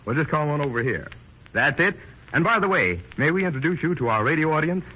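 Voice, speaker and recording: Vintage 1930s radio announcer, animated high-voiced man. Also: fairly quick. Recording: dull, band-limited 1930s radio recording.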